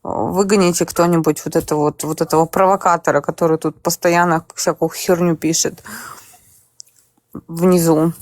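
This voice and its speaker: A woman's voice: fairly low pitch, flat delivery, darkish tone, dry recording. A woman hosts a live voice chat, talking in a blunt, skeptical way and teasing the people she speaks with. She sounds close and dry, with no room around her voice. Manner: direct, skeptical, quick to tease. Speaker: stream host. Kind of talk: casual chat.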